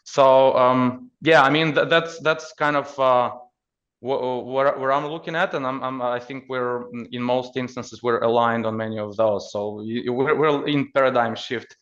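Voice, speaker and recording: A man with a Ukrainian accent giving conversational expert commentary as a podcast guest, in halting phrases with repeated words. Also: medium pitch, steady tone, a bit bright, muffled recording.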